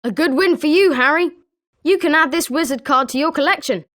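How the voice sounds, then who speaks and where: High-pitched, eager British schoolboy played by a woman. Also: fairly quick, a bit bright. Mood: congratulatory. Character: eager, sporting schoolboy wizard, friendly and competitive. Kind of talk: scripted video game character lines.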